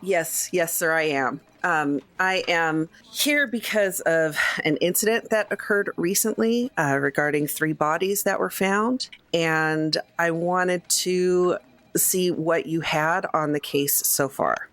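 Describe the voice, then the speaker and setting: Female role-play gamer, low voice, casual and conversational delivery. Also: slow pace, a bit bright. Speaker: tabletop game player.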